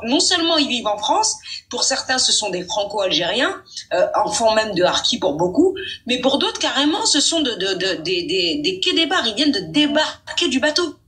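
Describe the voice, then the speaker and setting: Insistent high bright-voiced woman. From Morocco. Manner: insistent, talks over the other speaker and repeats points. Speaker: live stream host. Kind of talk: heated debate on a live stream.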